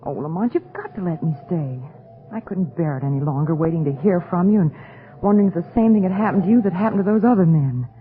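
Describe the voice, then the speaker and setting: A woman's voice: fairly low pitch, expressive, fast, close to an everyday voice. An actress playing a devoted, stubborn young woman in a 1930s radio drama, melodramatic and pleading, on a muffled old recording.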